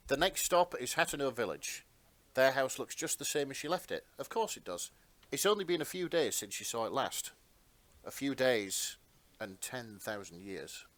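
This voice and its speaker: A man narrating fan fiction as a podcast host, with expressive, sentimental storytelling. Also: medium pitch, normal speed, no echo.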